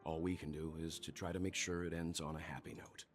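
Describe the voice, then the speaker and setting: An actor voicing a somber, reflective male traveler in a video game, speaking in a measured, formal way. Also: a bass voice, little pitch movement, soft background music. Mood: solemn. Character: somber low-voiced man, reflective space traveler.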